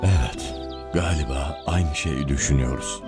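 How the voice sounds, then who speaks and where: A man voicing a grave, wise priest in a cartoon, solemn and measured. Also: very deep voice, over loud music. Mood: calm, curious. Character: grave, wise Orthodox priest, courteous and thoughtful. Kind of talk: cartoon voice acting.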